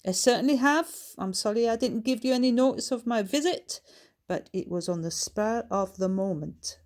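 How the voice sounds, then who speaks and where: A woman's voice: fairly high pitch, moderate pace, darkish tone, pitched above the performer's own voice, lightly acted. A woman voicing a stocky, hearty, blunt Scottish biker with a brash, exclamatory way of talking, in a storybook reading.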